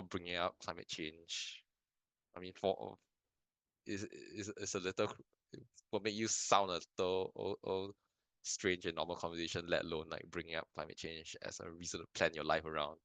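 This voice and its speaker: Deep-voiced hesitant young man from Singapore. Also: a bit bright, dry recording. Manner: hesitant, many restarts and fillers, thoughtful. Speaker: filmmaker guest. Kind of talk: casual interview answers. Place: lives in Canada.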